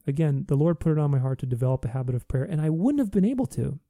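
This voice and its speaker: A man's voice: on the high side, expressive, racing, dark tone, no echo, studio quality. A man hosting a podcast, talking earnestly in a reflective solo monologue, with many restarts. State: recovering from voice loss.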